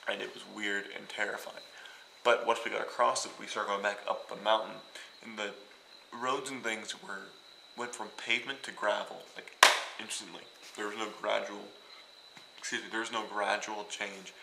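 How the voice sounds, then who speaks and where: A young man from Iowa rambling and joking as he vlogs from a hotel room, now and then bursting out loud. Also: lowish voice, flat delivery, moderate pace, creaky, echoey room, slight background noise, rough recording. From Iowa, United States. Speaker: vlogger. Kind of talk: casual travel vlog.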